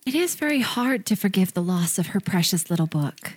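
Actress as young, caring big sister, animated melody in a dry close recording, softly persuasive, audio drama. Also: female voice, medium pitch, fairly quick, husky, no room sound. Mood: gentle, advising. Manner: gentle, coaxing. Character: kind, advising older sister, young woman. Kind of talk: audio play acting.

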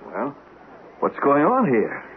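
An actor playing a hearty, excitable husband in a 1970s radio drama, informal and exclamatory. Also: male voice, mid-range voice, slow pace. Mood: hearty, excited. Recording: noisy, tinny old radio broadcast recording.

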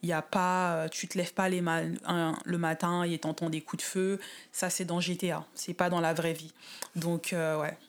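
A woman from the Paris suburbs in her thirties, talking casually as an interview guest with frequent pauses and restarts. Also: on the low side, little pitch movement. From France, Paris suburbs. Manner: thoughtful, many fillers and restarts.

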